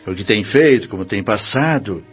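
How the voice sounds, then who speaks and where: A man's voice: on the low side, lively intonation, moderate pace, husky. An actor with a Brazilian accent playing a kindly but firm male minister in an audio drama, formal and authoritative. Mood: genial. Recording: dull lo-fi audio with music bed.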